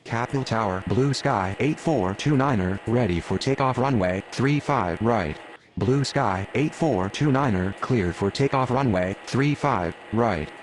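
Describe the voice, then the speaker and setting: Mid-pitched man making aviation radio calls. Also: a little slow, slight background noise, low-quality recording. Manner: clipped standard phraseology. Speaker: air traffic radio voice.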